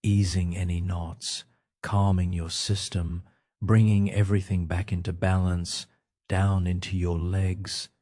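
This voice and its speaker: A man narrating a guided sleep meditation in a gentle, soothing manner, leaving pauses between short phrases. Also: sits low, level tone.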